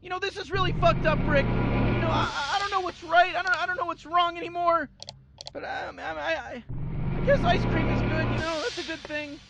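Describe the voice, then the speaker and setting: A man's voice: very high voice, steady tone, a little fast, gravelly. A man voicing an anxious, stammering teenage boy sidekick in a fan game playthrough, stuttering and repeating his words. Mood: defeated. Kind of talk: fan impression during a video game playthrough.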